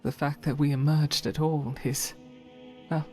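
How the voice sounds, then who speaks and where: Steadfast, gentle game knight, female actor: soft dark tone, measured and devout, faint music behind. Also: low voice, flat delivery, low-quality recording. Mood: earnest, subdued. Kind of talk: video game follower dialogue.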